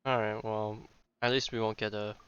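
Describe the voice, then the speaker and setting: A woman's voice: a bass voice, level tone, moderate pace, smooth. A woman streamer giving casual, laid-back, slangy commentary during a game playthrough, on a dull close microphone.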